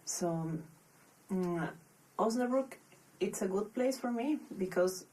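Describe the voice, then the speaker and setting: A young woman from Peru with a Peruvian Spanish accent, a podcast guest speaking English as a second language, thoughtful and pausing often to restart her phrases. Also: medium pitch, lively intonation, mellow, recorded from a distance, rough recording. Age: young adult. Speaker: podcast guest, master's student. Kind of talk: casual interview.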